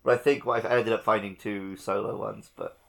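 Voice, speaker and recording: A man's voice: lowish voice, steady tone, brisk, vocal fry. A man co-hosting a casual video game podcast, speaking in an informal, playful way. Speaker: podcast co-host.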